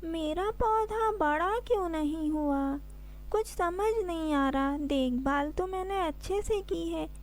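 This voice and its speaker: A woman voicing a cheerful, curious young girl in a children's cartoon, with simple, expressive delivery. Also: very high-pitched, slow. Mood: puzzled.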